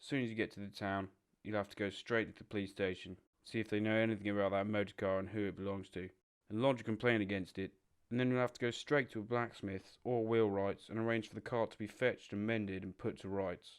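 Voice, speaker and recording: A man playing a practical, exasperated water rat in an audio drama, brisk and matter-of-fact. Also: on the low side, fairly even intonation, clean tone, lightly acted. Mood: firm, bossy. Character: practical, exasperated male water rat, bossy old friend.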